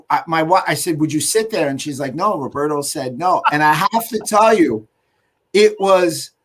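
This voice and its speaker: A man's voice: a little high, fairly bright tone. An American man hosting a casual interview, telling anecdotes in an easy conversational way. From United States. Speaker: host.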